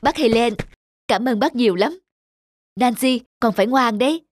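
A woman playing a gentle, weary young mother in a cartoon, speaking softly and politely. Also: a little high, moderate pace, a little hiss or hum, lightly acted. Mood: polite, apologetic. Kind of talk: animated cartoon dubbing.

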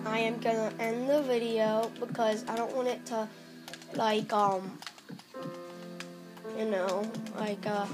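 A young female player rambling through casual Minecraft commentary, squealing at small wins, recorded on a phone aimed at a TV. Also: a little high. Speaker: Minecraft player filming her screen. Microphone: phone camera. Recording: phone camera aimed at a TV, music in background.

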